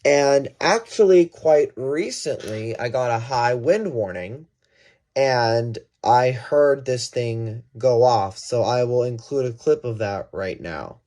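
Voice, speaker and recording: Mellow-voiced man demoing a gadget. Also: medium pitch, lively intonation, takes it slowly. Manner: thinking aloud, self-correcting. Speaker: product reviewer. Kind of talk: casual hands-on gadget demonstration.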